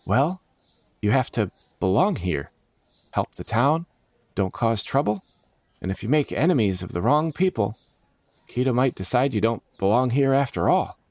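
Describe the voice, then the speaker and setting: A man's voice: medium pitch, big pitch swings, moderate pace, a little mellow, dry recording, voice pushed up. A man voicing an eager, proud male adventurer who explains things earnestly, as storybook character dialogue. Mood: cautionary.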